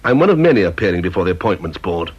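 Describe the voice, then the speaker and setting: A British actor in a radio drama playing a middle-aged, stern, exacting scientist boss, clipped, formal and terse. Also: male voice, deep voice, big pitch swings, racing, slightly rough, heavily acted. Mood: curt, stern. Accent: British English. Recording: dull, lo-fi old radio recording.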